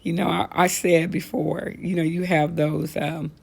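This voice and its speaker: A middle-aged American woman hosting a casual talk show, telling stories in a blunt, animated, colloquial way and repeating phrases for emphasis. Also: low-pitched, a little slow, gravelly, slightly rough. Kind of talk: casual comedic rant with storytelling.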